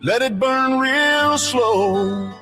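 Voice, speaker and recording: A woman singing a slow satirical song. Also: fairly high pitch, soft background music. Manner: slow sung delivery over a loud band. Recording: song mix with loud instruments.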